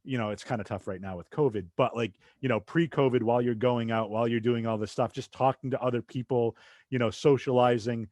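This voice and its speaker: A man's voice: medium pitch, steady tone, brisk, darkish tone, no echo, studio quality. A man from southeastern Massachusetts hosting a podcast, with a light Massachusetts accent and a rambling, loose way of talking full of asides. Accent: light Massachusetts, drops R's when fast. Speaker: podcast host. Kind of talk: casual interview chat.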